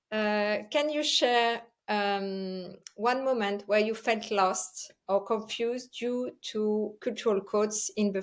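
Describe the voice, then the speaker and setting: French-accented woman hosting, halting delivery. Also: medium pitch. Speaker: host. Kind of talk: presenting a live online talk show.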